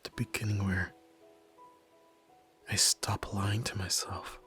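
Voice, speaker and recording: A young man playing a guarded tsundere nerd turned yakuza in an ASMR roleplay, halting and stammering with breathy sighs, tender underneath. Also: lowish voice, level tone, fairly bright tone. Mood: soft, relieved. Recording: music behind. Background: background music.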